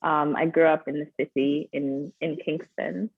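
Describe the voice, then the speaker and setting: Low-voiced woman on a tinny call. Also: little pitch movement, measured pace, vocal fry, breathy edge, dry recording. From Jamaica. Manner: thoughtful, some ums and repeated words. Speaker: panelist, coffee company founder. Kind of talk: casual personal story. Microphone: video call. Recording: tinny phone-like call audio.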